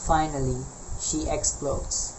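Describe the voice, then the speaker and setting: Very deep-voiced woman narrating. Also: level tone, slow. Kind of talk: scripted narration. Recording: loud noise, insect-like night ambience.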